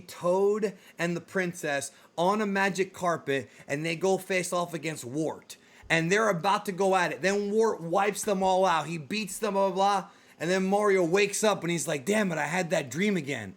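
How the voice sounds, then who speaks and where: A man hosting a casual discussion, talking in an animated, slangy way like an excited fan. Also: high voice. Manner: animated, slangy, addresses co-host as dude and yo. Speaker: host of a video game movie discussion show.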